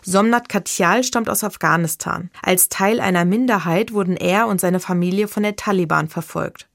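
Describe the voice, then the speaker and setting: Gravelly-voiced woman reporter, dry studio. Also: mid-range voice, no room sound. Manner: measured, even reading. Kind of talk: scripted radio feature narration.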